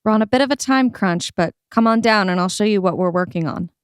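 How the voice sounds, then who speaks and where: Actress as a dystopian adventure heroine, dry close sound. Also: female voice, mid-range voice, fast talker, clean tone, no room sound, lightly acted. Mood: earnest. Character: women in a satirical dystopian adventure. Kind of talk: dramatic audio play acting.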